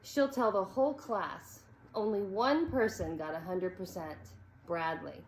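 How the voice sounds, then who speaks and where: A woman's voice: mid-range voice, measured pace, a little hiss or hum, natural voice. Storybook schoolboy, voiced by a woman: fretful, questioning, worried, recorded in an echoey room. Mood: excited. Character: anxious, fretful schoolboy worrying to himself.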